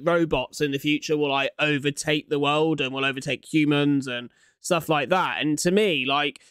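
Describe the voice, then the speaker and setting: A man's voice: on the high side, a bit bright, smooth, no room sound, studio quality. A young man giving casual reaction commentary, musing aloud in an informal way as host of the video.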